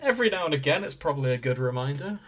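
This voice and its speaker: A man's voice: fairly high pitch, big pitch swings, very fast, a crowd in the background. A man with a British accent hosting a podcast in a casual, joking style. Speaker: podcast host. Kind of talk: casual comic banter.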